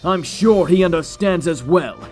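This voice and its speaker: A man's voice: high-pitched, expressive, a little slow, a little mellow, soft background music. A man voicing a vain, pompous tyrant king in a comedic fan dub, self-satisfied and grandiose.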